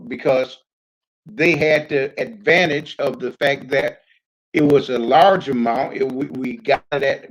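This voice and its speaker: Older man speaking slowly and haltingly. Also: mid-range voice, low-quality recording. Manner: halting and deliberate, with frequent long hesitations. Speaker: community speaker recounting his experience as a pioneer. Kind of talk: public address, reminiscing unscripted.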